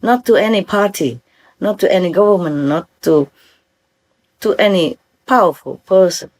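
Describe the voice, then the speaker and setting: Older Vietnamese-accented woman, earnest. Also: lowish voice. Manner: earnest, checks often that listeners understand. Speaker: spiritual teacher. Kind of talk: informal spiritual discourse to followers.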